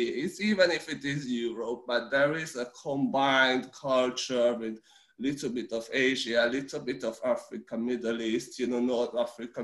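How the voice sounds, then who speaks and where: A man in his forties with a Hungarian accent, speaking English as a guest on a video call. His speech comes in halting bursts, full of restarts and repeated words. The room sounds echoey. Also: medium pitch, fairly bright tone. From Romania. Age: 40s. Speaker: interview guest. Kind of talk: casual video-call interview.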